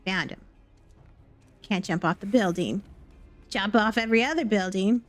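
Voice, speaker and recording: A woman is streaming a game with casual, playful commentary. She talks to herself in short remarks and little exclamations at a middling pitch. Music plays behind her voice. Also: normal speed. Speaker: live streamer. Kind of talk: casual gameplay commentary, reading game dialogue aloud. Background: background music.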